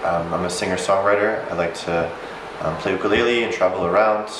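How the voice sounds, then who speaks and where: Deep-voiced, measured young man. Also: steady tone, background noise. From Philadelphia, USA. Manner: organized, measured, thoughtful. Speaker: interviewee. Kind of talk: casual interview answers. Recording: roomy sound over background music.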